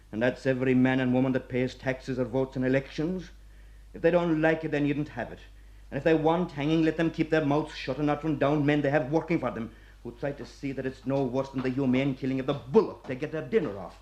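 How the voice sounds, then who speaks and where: An actor playing an older Irish prison warder, gruff yet fatherly, lecturing wryly in a radio play. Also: mid-range voice, darkish tone, highs cut off, natural voice.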